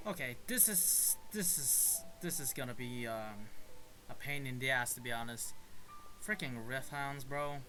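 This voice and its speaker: A man streaming a video game with a mid-pitched voice. He comments casually and informally in short reactions, with game music clearly behind him. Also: unhurried, poor audio. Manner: informal, muttered reactions with mild swearing.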